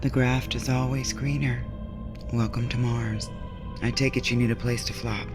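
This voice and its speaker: Casting: male actor as shrewd, streetwise flophouse keeper for sci-fi audio drama. Mid-pitched, sardonic, brassy, plays over a music bed. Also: level tone, moderate pace, close to an everyday voice. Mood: sly, businesslike. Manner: sardonic, streetwise, calls people sweetness and baby. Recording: loud music bed under dialogue.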